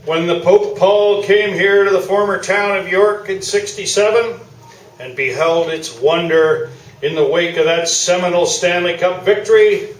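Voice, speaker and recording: A man's voice: high-pitched, unhurried. A man playing a pompous, self-satisfied old-time sports columnist in a live stage radio play. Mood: pompous, boastful. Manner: pompous, orating. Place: small theatre, live performance. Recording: roomy stage recording from far off.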